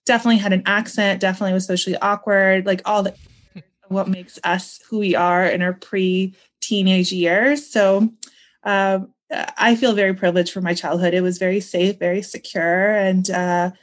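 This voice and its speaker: A woman's voice: mid-range voice, little pitch movement, normal speed. A woman in her thirties from Pakistan and Northern California, talking casually as an interview guest. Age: 30s. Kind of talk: casual podcast conversation.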